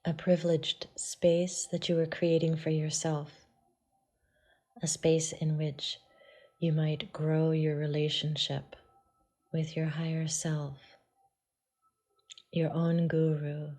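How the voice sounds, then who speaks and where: A woman teaching yoga meditation, guiding listeners in a soft, hushed delivery with pauses. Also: low voice, monotone. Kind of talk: guided meditation.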